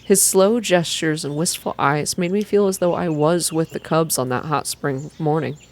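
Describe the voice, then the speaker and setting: A woman softly reading a scripted first-person story in a measured ASMR style, as narrator. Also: deep voice. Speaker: reader, narrator.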